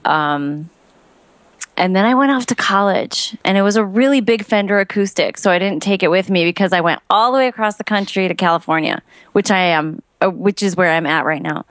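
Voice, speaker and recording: A woman's voice: medium pitch, brisk, fairly bright tone, dry recording. A woman from Romania who grew up in Chicago, a musician guest chatting in a friendly, easygoing way. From Romania, raised in Chicago. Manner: friendly, chatty, laughs easily. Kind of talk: casual interview.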